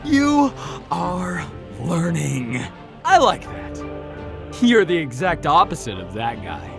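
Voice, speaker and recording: A man playing a taunting hunter villain in an audio drama, with a drawn-out, mocking delivery. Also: on the high side, huge pitch swings, vocal fry, music in the background, full character voice. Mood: amused, taunting.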